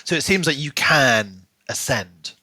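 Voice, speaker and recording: A man's voice: medium pitch, animated, unhurried, bright, forceful tone, no echo, studio quality. A man co-hosting a podcast, chatting casually and giving his opinions about books, sometimes acting out imagined quotes. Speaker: podcast co-host. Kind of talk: casual book discussion chat.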